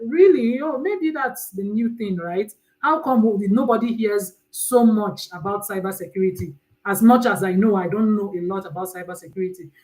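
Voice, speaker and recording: A woman's voice: mid-range voice, low-quality recording. A woman with a Nigerian accent, from Nigeria, hosting an online panel on a video call in a chatty way, often restarting her sentences. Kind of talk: casual live-stream hosting. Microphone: computer mic on a video call.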